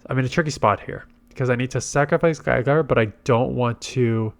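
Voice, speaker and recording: A man congested with a head cold gives casual gameplay commentary, thinking aloud through his tactics. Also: fairly low pitch. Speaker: game commentator and video host.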